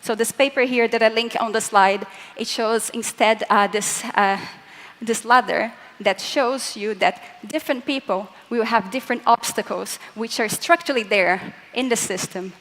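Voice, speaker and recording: A young woman from Latin America gives a conference talk in English, which is not her first language, and her voice sits a little higher than most. She explains her points earnestly and speaks straight to the audience. A long reverb trails behind her from the large hall. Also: moderate pace, fairly bright tone. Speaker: physicist giving a conference talk. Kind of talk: conference presentation.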